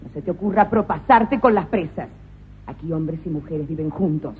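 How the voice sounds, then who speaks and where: A woman's voice: sits low, moderate pace, a little hiss or hum, natural voice. A performer voicing a cruel, sadistic woman who runs a prison, domineering and scornful, in a comic radio play. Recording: tinny lo-fi radio recording.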